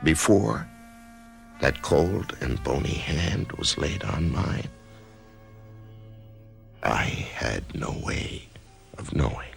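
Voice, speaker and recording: A man's voice: very deep voice, very animated, extremely slow, vocal fry, long pauses, soft background music, heavily acted. An actor playing a troubled middle-aged office man who narrates his own story in a 1990s radio drama, hesitant and brooding. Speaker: narrator-protagonist.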